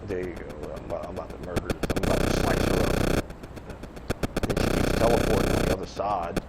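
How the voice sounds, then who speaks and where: A man's voice: sits low, fast, heavy background noise. A man giving casual, rambling gameplay commentary as a channel host, grumbling and swearing in an offhand way, with game audio behind him. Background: loud retro video game music and sounds.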